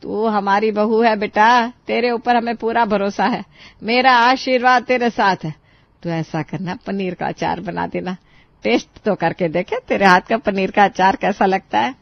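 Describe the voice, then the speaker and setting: A woman's voice: mid-range voice, moderate pace, creaky, no echo, natural voice. A woman voicing a coaxing, affectionate older mother-in-law, on a dry, muffled studio recording.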